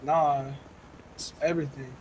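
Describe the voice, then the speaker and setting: A man with a somewhat high voice chats casually with another player in an online game. There is faint game and vehicle noise behind him. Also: flat delivery, measured pace, darkish tone, rough recording.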